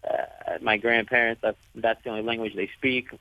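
Soft-spoken man on a tinny phone line. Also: medium pitch, little pitch movement, normal speed, gravelly, dry recording. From United States. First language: Italian. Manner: soft-spoken, searching for words. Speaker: interview guest, professional soccer player. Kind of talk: interview answers.

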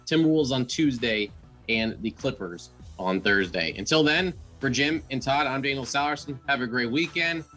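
A man's voice: mid-range voice, moderate pace, bright, forceful tone, soft background music. A man hosting a sports podcast in an upbeat, enthusiastic and conversational way.

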